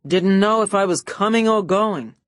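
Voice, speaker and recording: A woman's voice: mid-range voice, moderate pace, no echo, clean studio sound, voice pushed up, lightly acted. A woman voicing an over-apologetic male film actor in an audiobook, flustered and eager to please. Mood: flustered apology.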